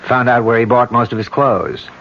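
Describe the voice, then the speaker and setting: A calm, methodical detective. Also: male voice, mid-range voice, moderate pace, vocal fry. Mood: explaining. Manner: matter-of-fact, explanatory. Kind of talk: 1970s radio drama acting. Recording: dull lo-fi old radio broadcast.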